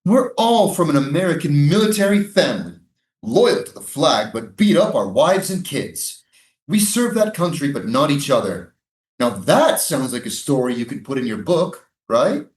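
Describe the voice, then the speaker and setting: A man's voice: on the high side, big pitch swings, normal speed. A man in a staged play reading, playing a defensive, sardonic American military prison guard. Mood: bitter, wounded. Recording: roomy, echoing live staged reading.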